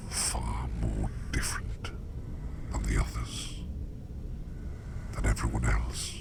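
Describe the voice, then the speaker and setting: Man playing a very deep, whispering sleep demon. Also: heavily acted. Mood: fascinated, intimate. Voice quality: breathy whisper with very deep, gravelly creak. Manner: slow, deliberate, hushed, formal wording with repeated phrases. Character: curious, enigmatic male sleep demon who walks dreams. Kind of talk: whispered ASMR roleplay, personal attention.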